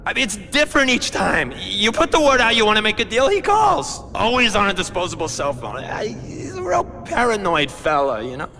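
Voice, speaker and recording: Jittery high gravelly informant, stammers, says huh a lot, game music under it. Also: male voice, huge pitch swings, normal speed, hardly any pauses, pushed and bright, big-room sound, exaggerated voice. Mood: nervous. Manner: stammering, nervous, ends lines with "huh?". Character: nervous male gang informant, shifty and talkative. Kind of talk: video game cutscene acting.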